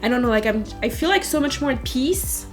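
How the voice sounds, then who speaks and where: A woman's voice: on the high side, brisk, faint music behind. A young woman from Africa with a mixed British and Nigerian accent, chatting frankly and laughing often over a video call while doing her makeup. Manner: lively, frank, laughs often, speaks with emphasis. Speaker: guest beauty creator.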